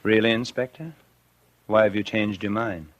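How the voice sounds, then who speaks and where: A man's voice: on the low side, steady tone, normal speed, natural voice. An actor playing a guilty, scheming man who confides his crime in 1940s radio suspense style. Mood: feigned innocence. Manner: confessional first-person narration. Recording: vintage 1940s radio with loud music.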